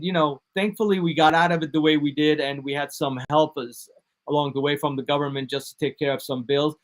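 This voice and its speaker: A man from the United States, a DJ and producer, talking casually in an interview, relaxed and rambling with many fillers and restarts. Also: a little high. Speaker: guest DJ and producer.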